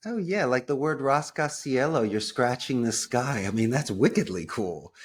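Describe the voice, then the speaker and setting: An American man speaking as an interview guest, telling personal stories in an enthusiastic, expressive way with colorful phrasing. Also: mid-range voice, normal speed. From United States. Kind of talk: conversational personal storytelling.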